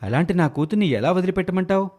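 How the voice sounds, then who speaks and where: A man voicing an earnest, protective young father in an animated moral story. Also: high voice, fast talker, pitched above the performer's own voice. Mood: indignant. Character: protective, soft-hearted young father and husband.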